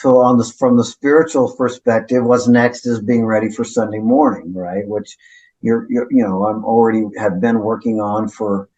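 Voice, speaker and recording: Middle-aged male pastor, low voice, reflective and low-key, often asks "right?". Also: steady tone, moderate pace, a little mellow, muffled recording. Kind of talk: conversational interview.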